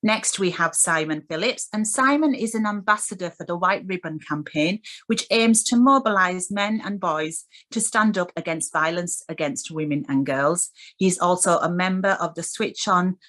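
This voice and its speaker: A woman's voice: fairly low pitch, normal speed, few pauses, a bit bright. A woman hosting a radio show, introducing guests and putting questions to them. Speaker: radio host.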